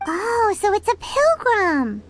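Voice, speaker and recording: A woman's voice: extremely high pitch, big pitch swings, slow pace, faint music behind, exaggerated voice. A woman voicing a tiny, chirpy, blunt and loyal childlike fairy companion in an anime video game. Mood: surprised, curious. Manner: exclamatory, childlike.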